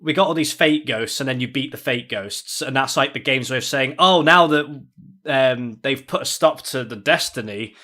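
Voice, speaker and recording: A man's voice: mid-range voice, moderate pace, sharp tone. A man in a casual podcast discussion, giving opinions in loose, conversational phrases peppered with "like". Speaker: podcast panelist.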